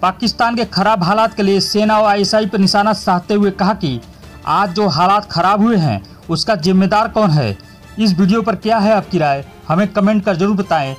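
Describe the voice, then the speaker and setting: News narrator, male, dramatic scripted read, high voice, faint music bed. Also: moderate pace.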